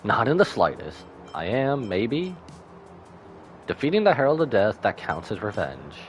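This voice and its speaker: A man streams a game with casual, wry commentary. His voice is very deep yet moves around in lively swings, and faint music sits behind his joking remarks. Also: unhurried. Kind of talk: casual live commentary with read-aloud dialogue choices.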